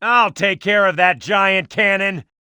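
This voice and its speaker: Bold high-pitched cartoon fighter. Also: male voice, a little slow, bright, forceful tone, clean tone, no room sound, exaggerated voice. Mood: confident, determined. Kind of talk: scripted video game character voice acting.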